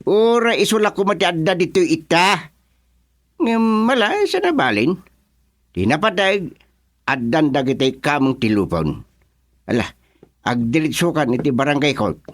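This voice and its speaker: A man's voice: fairly high pitch, sing-song, unhurried, long pauses, no room sound. An actor with an Ilocano accent playing an exasperated, blustery village chairman in a comedic radio drama. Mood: grumbling. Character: exasperated male village chairman presiding over a hearing.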